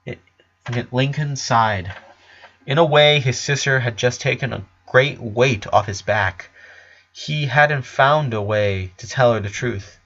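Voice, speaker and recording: A man's voice: mid-range voice, slow, fairly bright tone, dull audio. A man reading a story aloud as its narrator, in scripted prose.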